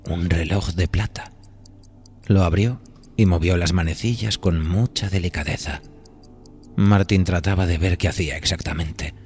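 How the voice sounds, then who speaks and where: Deep-voiced Spanish male horror narrator. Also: darkish tone. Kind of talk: scripted horror story narration. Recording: music behind the voice.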